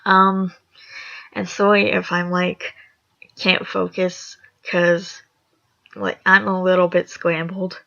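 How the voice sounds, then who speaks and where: A young woman with a raspy voice, reading a horror fan story aloud, rambling and apologetic with chatty asides. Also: on the low side, flat delivery, takes it slowly, long pauses, pushed and bright. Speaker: story reader. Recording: lo-fi dull recording.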